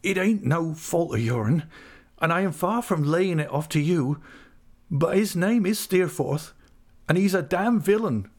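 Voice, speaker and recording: Young fisherman, played by a male narrator: honest, anguished, pleading, pitched up with a gravelly edge. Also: animated, a little slow, darkish tone. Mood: broken, bitter. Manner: pleading, faltering. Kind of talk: dramatised audiobook character.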